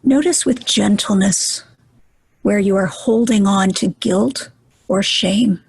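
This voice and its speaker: Female meditation guide, very husky and breathy, unhurried and gentle, close dry sound. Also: medium pitch, no echo. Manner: gentle, soothing, deliberate with pauses.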